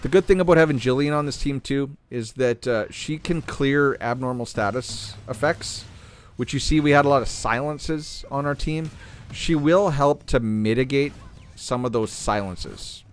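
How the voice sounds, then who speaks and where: A man hosting a gaming channel, talking casually through a game while playing, clearing his throat as he is losing his voice. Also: medium pitch, normal speed. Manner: relaxed, thinking aloud while playing. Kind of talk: casual gameplay commentary.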